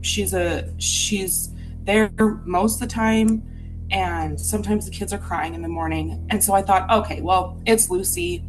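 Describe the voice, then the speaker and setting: A woman's voice: mid-range voice, a little slow, faint music behind, low-quality recording. A woman from Utah telling a personal ghost story in an earnest, candid, reflective way.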